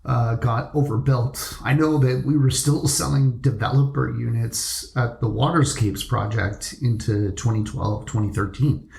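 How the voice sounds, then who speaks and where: Guy hosting a chat, bit of vocal fry, roomy sound. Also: mid-range voice, steady tone, measured pace, few pauses, darkish tone. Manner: conversational, asks follow-up questions. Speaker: interview host, real estate agent. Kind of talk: casual interview talk.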